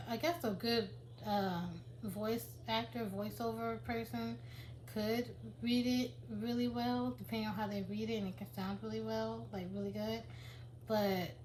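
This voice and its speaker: A woman's voice: medium pitch, darkish tone, slight background noise, low-quality recording. Rambling woman vlogger, casual chat. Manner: rambling, many restarts and fillers. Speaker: vlogger and writer.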